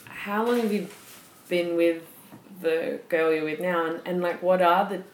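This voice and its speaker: Woman interviewer, very low voice, casual phrasing, echoey room with faint hiss. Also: fairly even intonation, unhurried. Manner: chatty, loose phrasing with likes.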